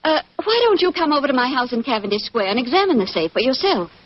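A woman's voice: high-pitched, very animated, fast talker, no echo. An actress playing a wealthy, playful English lady with a British accent, poised and imperious in 1940s radio drama style. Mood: haughty, coaxing. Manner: poised, arch, refined. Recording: dull, muffled 1940s radio recording.

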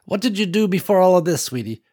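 A warm, wise old woman who has worked her land for decades, as a male narrator puts her on. She talks in an unhurried, folksy way, full of endearments, in a voice lifted somewhat above his own. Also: high-pitched, expressive, pitched above the performer's own voice. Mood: gentle. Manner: gentle folksy drawl with endearments.

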